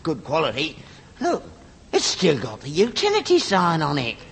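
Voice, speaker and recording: Querulous, creaky old London junkman. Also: a little high, wildly swooping pitch, normal speed, a bit bright, full character voice. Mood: satisfied, stubborn. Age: older. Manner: querulous, whining, melodramatic. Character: wheedling, crotchety old rag-and-bone man, a father. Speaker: actor in a comedy. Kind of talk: British sitcom acting. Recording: reverberant, noisy old sitcom recording.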